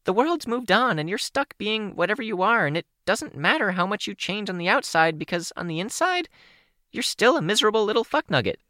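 A reader voicing a chirpy, talkative young teen girl who speaks in rushing bursts of words, in an audiobook. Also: mid-range voice, big pitch swings, bright, forceful tone, dry recording, clean studio sound, voice pushed up. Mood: angry. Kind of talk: audiobook character voice.

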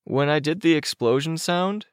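A man voicing an excitable, defensive young boy with a complaining, exclaiming manner in an audiobook story. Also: a little high, moderate pace, clear, resonant tone, no echo, clean studio sound, pitched above the performer's own voice, lightly acted. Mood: sheepish.

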